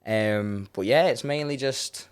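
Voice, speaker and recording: A young man with a Scouse accent, a rapper and producer chatting casually as an interview guest, relaxed and quick to laugh. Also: medium pitch, clean tone. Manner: relaxed, laughs often, trails off into fillers.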